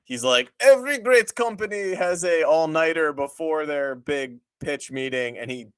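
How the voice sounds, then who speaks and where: A man's voice: a little high, normal speed, fairly bright tone, clear, resonant tone, dry recording, clean studio sound. A man hosting a casual podcast chat about television, explaining things informally with asides. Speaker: podcast host reviewing a TV show.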